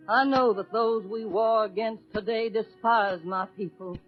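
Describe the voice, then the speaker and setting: A woman's voice: on the high side, natural voice. An actress playing a dignified, wronged woman, proud and grieving, in solemn, impassioned 1940s radio oratory. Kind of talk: 1940s radio acting, declamatory. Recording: muffled old radio broadcast, faint music.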